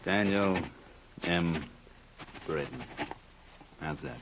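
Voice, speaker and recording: Casting: broke, bullying radio-drama drinker, low-pitched, snappish, on hissy old audio. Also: male voice, very slow. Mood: wary. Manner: curt, snapping, sarcastic. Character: surly, bullying, broke solitary drinker Danny, dark-haired man. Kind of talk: 1950s radio drama acting. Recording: noisy, muffled old radio recording.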